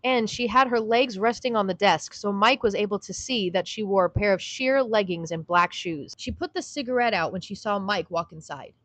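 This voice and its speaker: A woman's voice: mid-range voice, normal speed, long pauses, a bit bright, no room sound. A woman reading scripted third-person narration for an audio drama.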